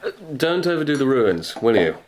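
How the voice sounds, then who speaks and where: A man's voice: medium pitch, sing-song, moderate pace, slight background noise, close to an everyday voice, low-quality recording. An actor playing an earnest, anxious and devoted young Englishman in a radio drama, with an English accent. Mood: concerned, dry.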